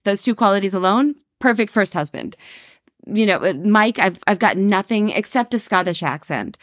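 A woman's voice: mid-range voice, expressive, normal speed, no echo, studio quality. A woman co-hosting a casual book podcast, talking frankly and joking with a profane edge. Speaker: podcast co-host, writer.

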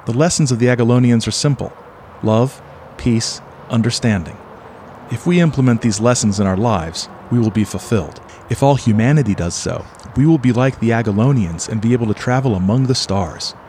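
A man's voice: mid-range voice, fairly quick, dark tone, a little hiss or hum, close to an everyday voice. A man playing an earnest, naive, slightly hesitant motel UFO believer in an audio play. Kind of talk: audio play acting and first-person narration.

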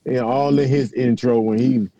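A man's voice: medium pitch, steady tone, moderate pace, mellow, lo-fi sound. A man guesting on a podcast, teasing the host in loose, profane banter. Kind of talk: casual chat.

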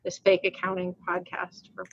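A woman's voice: on the low side, monotone, moderate pace, vocal fry, fairly bright tone, highs cut off. A woman in her forties from Rhode Island, co-hosting a casual accounting podcast, chatty, laughing often and restarting her sentences. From Rhode Island, USA. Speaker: podcast co-host.

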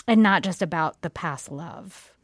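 A woman's voice: fairly low pitch, a little slow, breathy edge, dry recording, studio quality. A woman interviewer talking conversationally, reflective and sharing personal thoughts. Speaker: radio interviewer.

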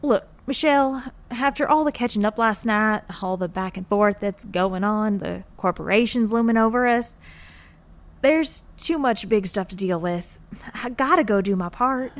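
A woman's voice: mid-range voice, normal speed, lightly acted. A woman playing a stubborn, teasing moonbase worker in a naturalistic audio drama, colloquial and feisty. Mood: stubborn, resigned. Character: wisecracking, stubborn, gruffly fond injured female moonbase worker.

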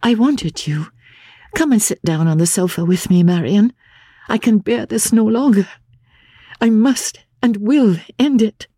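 A woman voicing an anguished, gentle young gentlewoman, earnest and halting, in a dramatic classic audiobook. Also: medium pitch, breathy edge, no echo, studio quality, voice pushed up. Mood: agitated, resolute.

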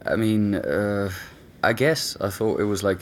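A man's voice: lowish voice, a little slow, natural voice. An actor playing a casual, reflective man recalling things in a hesitant, informal audio drama conversation.

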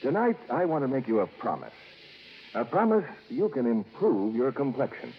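A man's voice: mid-range voice, wildly swooping pitch. A man announcing on 1950s radio in a polished, emphatic scripted sales style. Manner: emphatic, deliberate repetition, polished. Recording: dull old radio broadcast.

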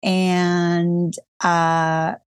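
A woman's voice: on the low side, flat delivery, very slow, clean tone, dry recording, studio quality. A middle-aged woman from Colombia, a guest in a casual interview, talking in a reflective, emotional way and laughing often.

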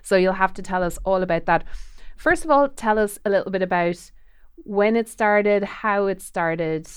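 Chatty woman host, clear voice. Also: mid-range voice, moderate pace, dry recording, studio quality. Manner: chatty, effusive, trailing off and restarting. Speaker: podcast host. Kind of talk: casual interview chat.

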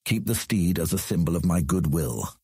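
A man playing a proud, measured ruler in a fantasy audio drama, speaking formally and with deliberate command. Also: low voice, lively intonation, darkish tone, husky, no room sound, studio quality, full character voice. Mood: stern, measured. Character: proud, measured ruler of an outland city on his throne.

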